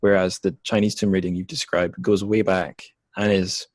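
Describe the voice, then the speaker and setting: A man hosting a casual interview, speaking in a halting, thoughtful way as he works through his questions. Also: sits low, little pitch movement, no room sound, clean studio sound. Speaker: podcast host and interviewer.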